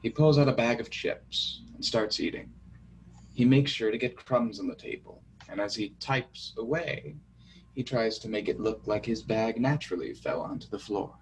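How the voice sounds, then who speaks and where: A man narrates stage directions from a script. He has a mid-pitched voice, recorded a little away from the microphone with faint noise. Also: moderate pace, distant mic, poor audio.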